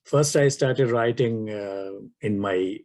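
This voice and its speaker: An older man from Pakistan, whose first language is Urdu, answering interview questions slowly with many pauses and fillers. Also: fairly low pitch, darkish tone. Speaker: author guest.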